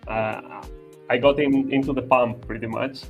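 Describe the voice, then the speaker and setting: A man's voice: mid-range voice, steady tone, phone-quality sound, soft background music. Hesitant young man from Albania. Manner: hesitant, many "uh" pauses, slightly broken English. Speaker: guest. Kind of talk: casual chat.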